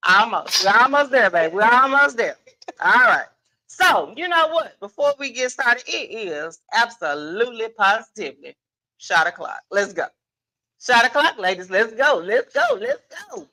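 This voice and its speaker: Lively, bright-voiced woman hosting. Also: fairly high pitch, normal speed, low-quality recording. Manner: playful, commanding, repeats phrases for emphasis. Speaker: guest moderator and host. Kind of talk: lively unscripted event hosting, casual banter. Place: live podcast panel event.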